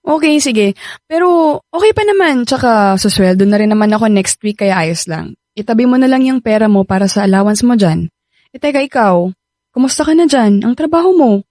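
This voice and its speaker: An actress playing a gentle, trusting young pregnant woman in a Filipino radio drama, speaking affectionately with a Filipino accent. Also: on the high side, lively intonation, a little fast, no echo, natural voice. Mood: tender, caring. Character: young pregnant live-in partner, sweet, trusting, caring. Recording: studio drama over background music.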